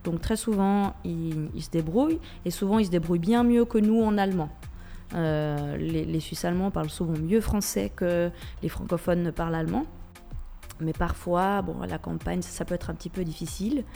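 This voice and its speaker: A woman's voice: fairly low pitch, moderate pace, creaky, warm tone, soft background music. A woman from Switzerland with a Swiss French accent, a radio guest who talks with many hesitations and restarts. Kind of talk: radio interview.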